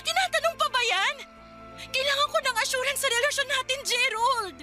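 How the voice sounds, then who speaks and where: A woman's voice: very high-pitched, a little fast, over music. An actress playing a woman who presses her partner for commitment in a radio drama, pleading and confrontational. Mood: insistent.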